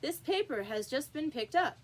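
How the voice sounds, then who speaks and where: A woman's voice: sits high, animated, normal speed, pitched above the performer's own voice. Woman reading as a fussy, deferential rabbit courtier. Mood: hurried, eager. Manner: respectful, eager.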